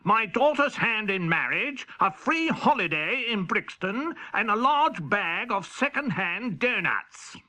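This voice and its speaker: A man voicing a grand, jovial king in a children's storybook, proclaiming with drawn-out words. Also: high voice, sing-song, bright, forceful tone, voice pushed up, full character voice. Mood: pompous, generous.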